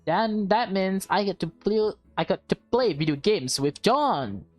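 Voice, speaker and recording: Woman doing excited little kid girl, game character, eager, faint music behind. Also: medium pitch, a little slow, no echo, lightly acted. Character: excited little schoolgirl who loves video games.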